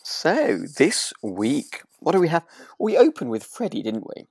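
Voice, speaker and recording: A man from Yorkshire co-hosting a relaxed chat podcast in a casual, slightly hesitant, self-deprecating way. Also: a little high, a little slow, slightly rough, dry recording, studio quality. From Yorkshire, England. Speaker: podcast co-host. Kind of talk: relaxed casual chat about a radio drama.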